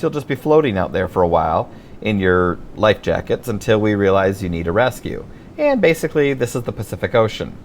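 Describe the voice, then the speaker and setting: Male reader as blunt rental worker: gravelly, emphatic, swinging pitch, audiobook dialogue with ominous edge. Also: slight background noise.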